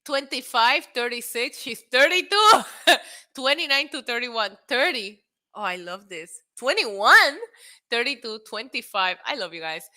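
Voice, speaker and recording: A woman in her late thirties hosting a casual wrestling live stream, excitable and a little tipsy, laughing, swearing and dropping in Spanish phrases as she answers chat. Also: fairly high pitch, a little slow. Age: 30s. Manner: frequent laughter and profanity. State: tipsy from drinks. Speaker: wrestling show host.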